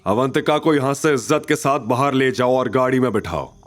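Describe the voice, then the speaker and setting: A man playing a calm, feared former major in an audio drama, composed, mocking and coldly authoritative. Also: medium pitch, fairly quick, voice pushed up, lightly acted. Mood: commanding. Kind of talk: audio drama character acting.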